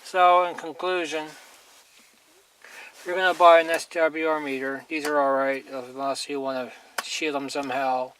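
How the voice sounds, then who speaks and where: A man's voice: on the high side, flat delivery, moderate pace, fairly bright tone. A man giving a casual hands-on gear demonstration in a blunt, plain-spoken, mildly crude manner. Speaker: hobbyist reviewer demonstrating radio gear. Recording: noisy amateur recording with clicks.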